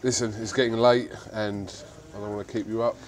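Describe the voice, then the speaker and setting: A man's voice: on the low side, flat delivery, fairly quick, a little hiss or hum. A man interviewing a boxer, asking brief, easygoing questions. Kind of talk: casual interview.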